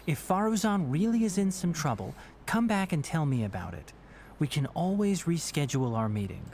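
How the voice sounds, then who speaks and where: A man playing a sensible, caring young mentor in a video game, speaking in a measured, articulate and reassuring way. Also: fairly high pitch, sing-song, a little mellow, a little hiss or hum. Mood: concerned. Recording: game music behind the voice.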